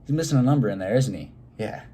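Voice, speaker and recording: A man reading a children's story aloud expressively, pausing to put questions to his listeners. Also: mid-range voice, warm tone, husky.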